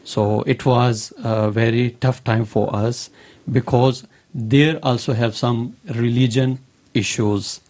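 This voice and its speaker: A man from Pakistan, answering interview questions on the radio in earnest, halting, formal phrasing. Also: mid-range voice, steady tone, a little mellow. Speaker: radio guest. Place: radio studio.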